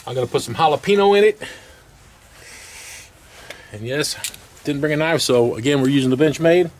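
A man's voice: on the high side, normal speed, darkish tone. A middle-aged man casually narrating his cooking step by step at a camp stove outdoors, recorded on a camera mic. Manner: folksy, talks through each step. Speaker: camping vlogger. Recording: outdoor camera audio with clear background noise.

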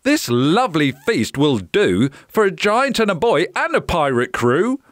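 A man's voice: sits high, sing-song, takes it slowly, a bit bright, no echo, clean studio sound, pitched above the performer's own voice, heavily acted. A man voicing a brave, fussy little storybook boy who is plucky and exclaiming. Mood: delighted.

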